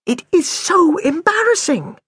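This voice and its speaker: A man's voice: very high voice, sing-song, unhurried, fairly bright tone, no echo, studio quality, pitched above the performer's own voice, exaggerated voice. A man voicing a furious, embarrassed schoolgirl who hisses and snaps her words. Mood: angry. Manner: hissing, snappish.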